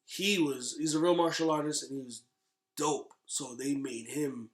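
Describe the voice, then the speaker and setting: Slangy man with a slightly high voice. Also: moderate pace. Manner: slangy, agreeable, restates his points. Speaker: podcast guest. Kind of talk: casual podcast chat about movies and games.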